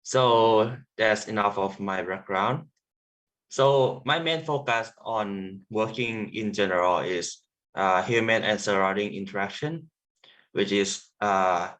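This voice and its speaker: A young man from Thailand with a Thai accent, giving an online presentation as a sound artist, halting with many fillers and restarts. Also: lowish voice, a bit bright.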